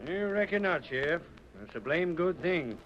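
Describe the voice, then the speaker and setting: Man acting high-voiced tough Western deputy. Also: expressive, moderate pace, crowd noise, lightly acted. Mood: matter-of-fact. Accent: American Western. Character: tough, loyal frontier deputy. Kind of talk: 1940s radio Western acting. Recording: dull, hissy old radio recording.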